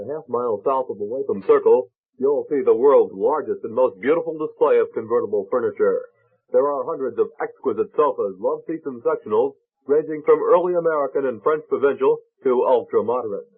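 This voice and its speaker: A man's voice: medium pitch, husky. A man working as a local radio announcer, reading a scripted mid-century commercial. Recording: narrow muffled old radio broadcast.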